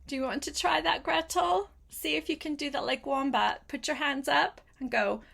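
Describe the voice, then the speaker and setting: An older woman reading a picture book aloud to a small child, gentle and coaxing, with chatty asides spoken straight to the child. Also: high-pitched, vocal fry. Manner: gentle, unhurried, coaxing, addresses the child directly.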